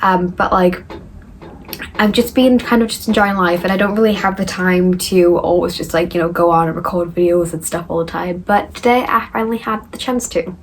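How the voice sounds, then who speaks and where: A woman's voice: lowish voice, fairly even intonation, normal speed, slight background noise. A young woman from northeast England, chatting casually as she answers viewer questions in a rambling vlog. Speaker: recovery vlogger.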